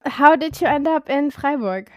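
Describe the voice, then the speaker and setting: A woman hosting a podcast, chatting in a relaxed way with plenty of fillers. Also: high-pitched, moderate pace, dry recording. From Germany. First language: German. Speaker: podcast host. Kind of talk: casual podcast interview. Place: home in Texas, on a call.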